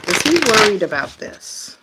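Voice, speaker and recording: Halting woman reading tarot, lively pitch. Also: heavy background noise. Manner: halting, thinking aloud, trailing off mid-phrase. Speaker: tarot reader. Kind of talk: casual improvised tarot reading to viewers.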